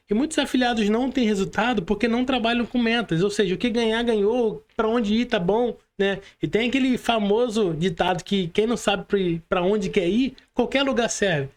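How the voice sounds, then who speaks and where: High-pitched male voice, Brazilian Portuguese accent, podcast host, casual, slangy, checks for agreement often. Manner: informal, slangy, seeking agreement with frequent tag questions. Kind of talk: casual conversational interview.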